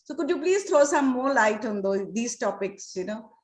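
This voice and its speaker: A woman's voice: a little high, expressive, moderate pace, a little hiss or hum. A woman with an Indian accent hosting a conversational interview, recorded with a roomy echo. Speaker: interview host. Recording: roomy, echoing sound.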